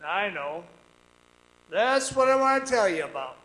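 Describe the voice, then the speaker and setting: High-voiced, haunted old-time miner played by a man. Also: very animated, fairly bright tone, reverberant, full character voice, rough recording. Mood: mysterious, knowing. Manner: folksy, halting, conversational, with ominous pauses. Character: weary, haunted former copper mine guide telling his story. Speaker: radio drama actor. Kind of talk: radio drama monologue, folksy storytelling. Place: performing arts center stage.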